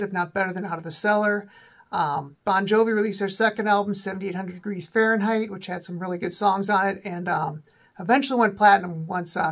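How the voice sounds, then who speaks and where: A man in his forties with a cold and a slightly sore, raspy throat, casually talking through rock albums as host of his own channel, with many ums and self-corrections. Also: sits high, a bit bright. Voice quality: raspy, voice may crack. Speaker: rock music video host. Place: small indoor room with a backdrop.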